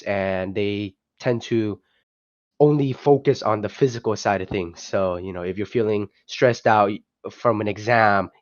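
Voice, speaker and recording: A man's voice: fairly low pitch, no room sound. A young man, talking loosely as a guest on an online call. He hesitates and restarts often, leaning on fillers. The sound is dry and dull, with no room around it. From Vietnam. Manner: hesitant, many restarts and "you know" fillers. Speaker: guest, project founder. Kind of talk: casual podcast interview.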